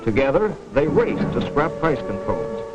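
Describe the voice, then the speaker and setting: A man's voice: mid-range voice, wildly swooping pitch, a little slow. A sardonic, emphatic American man narrating a dramatic 1940s film, on a dull vintage soundtrack. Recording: dull vintage film track, music behind.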